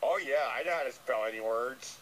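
A man's voice: mid-range voice, fairly quick, a bit bright, lightly acted. A man voicing a nervous, earnest, dim-witted llama who hesitates and repeats himself on a comic radio call. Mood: flustered boasting. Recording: noisy re-recording of radio playback.

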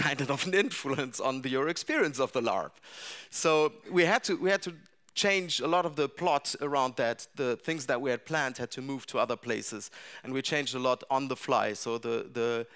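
A middle-aged man giving a talk about game design to a seated audience in a dark seminar room, thinking aloud with frequent restarts and fillers. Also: medium pitch.

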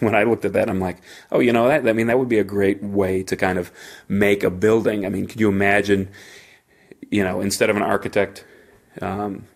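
A man hosting a solo podcast, talking informally and haltingly as he thinks aloud. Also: on the low side. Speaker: podcast host; engineer.

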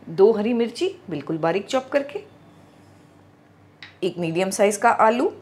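A middle-aged woman talks through a home recipe, guiding the listener from one step to the next. Her voice rises and falls a lot, and she explains things patiently and clearly. She speaks into a small clip-on mic in a home kitchen. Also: mid-range voice. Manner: calm, instructive, gently explaining. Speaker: cooking channel host.

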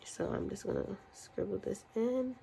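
A woman's voice: medium pitch, normal speed, vocal fry, dark tone, slight background noise. A young woman with a cold and a stuffy voice, chatting casually and counting money aloud in her budgeting vlog. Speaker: budgeting channel host. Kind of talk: casual chat while counting cash. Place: indoors at a desk.

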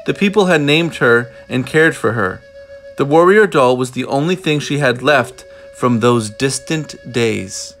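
A man reading a children's picture book aloud in an expressive way, with deliberate, dramatic phrasing. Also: medium pitch. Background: music.

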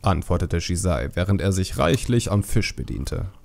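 A man narrating a fantasy audiobook in a measured, expressive storytelling manner. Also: low voice, gravelly, soft background music.

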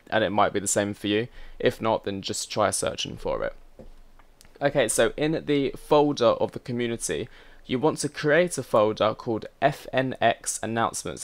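A man with a mid-pitched voice narrates a how-to video. He is chatty and instructional, guiding viewers step by step in casual language. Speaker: host of a flight simulator tutorial channel.

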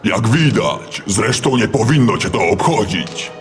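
A man's voice: fairly low pitch, very animated, a little slow. A man playing a proud, resentful and defiant orc arena master in an audio drama. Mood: cold, insolent. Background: music.

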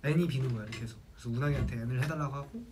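A man's voice: mid-range voice, noisy background. A young man from South Jeolla chatting casually with fans on a livestream and telling stories. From South Jeolla, Korea. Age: young adult. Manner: quick, chatty storytelling, reading out a list. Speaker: idol group member on a livestream. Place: small indoor room with a plain wall.

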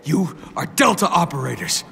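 A man's voice: on the high side, very animated, moderate pace, gravelly, a bit bright, husky, full character voice. A man playing a stern, hot-tempered special forces squad commander in a video game, swearing and giving orders. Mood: furious. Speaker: squad leader. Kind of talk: dramatic video game acting.

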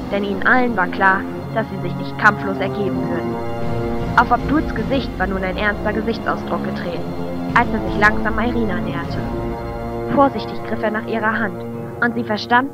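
A woman reading scripted fantasy narration as the storyteller of an audio drama. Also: medium pitch. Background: clear music.

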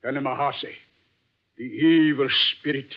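A man's voice: mid-range voice, steady tone, moderate pace, natural voice. A man playing a stern older village chief and father in an old-time radio drama, grave and commanding. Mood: grave, accusing. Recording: thin, phone-like old radio broadcast.

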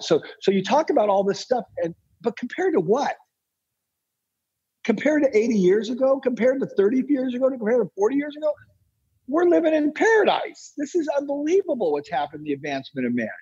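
A man's voice: medium pitch, dark tone, breathy edge, dull audio. An American man giving opinionated interview answers as a guest, insistent and emphatic, repeating phrases for effect. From United States. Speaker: podcast guest, entrepreneur and author.

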